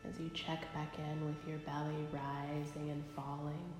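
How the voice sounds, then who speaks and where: A woman leading a guided body scan meditation, speaking gently and unhurriedly with long pauses. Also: sits low, level tone, over music. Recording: very echoey live recording, long reverb.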